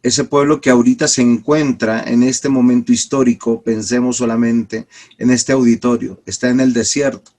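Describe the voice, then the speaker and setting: A man teaching an online Bible class as a pastor, a little congested from a cold, who speaks deliberately with restarts and stretched-out words. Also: medium pitch, steady tone, darkish tone. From Peru. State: slight cold with a cough.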